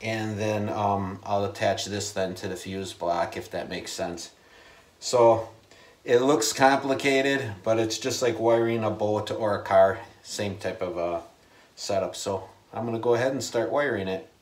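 A man in his forties giving a casual how-to explanation to camera in a plain, unhurried, halting way. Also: fairly low pitch, fairly even intonation. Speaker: DIY video host. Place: inside a small unfinished wooden cabin with a steel ceiling.

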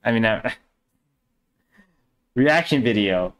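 A young man giving casual, halting reaction commentary as a streamer at his desk. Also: medium pitch, lively intonation, fairly bright tone. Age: young adult. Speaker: streamer reacting to a game trailer. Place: small room indoors at a desk. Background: faint music.

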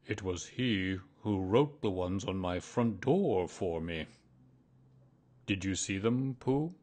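A man voicing a pompous, long-winded owl in a children's story, with a ponderous, drawn-out delivery. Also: low-pitched, lively intonation, a little mellow, exaggerated voice. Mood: self-satisfied.

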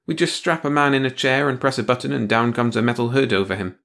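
A man's voice: medium pitch, steady tone, fast, gravelly, fairly bright tone, pitched above the performer's own voice. A man voicing a weary, cynical male official who speaks with dry bitterness. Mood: bitter.

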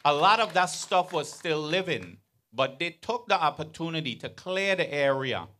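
Earnest man, higher voice. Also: expressive, normal speed, pushed and bright. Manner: earnest, building to pointed emphasis. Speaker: resident asking a question. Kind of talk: town hall question. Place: large outdoor venue with audience. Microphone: handheld mic.

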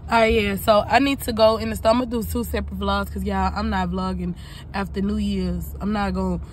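A woman's voice: mid-range voice, little pitch movement, brisk, a little hiss or hum. Congested young woman vlogging from her car. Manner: chatty, rambling, swears casually. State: congested, coughing. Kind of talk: casual vlog chat. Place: inside a parked car. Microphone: phone held low at arm's length.

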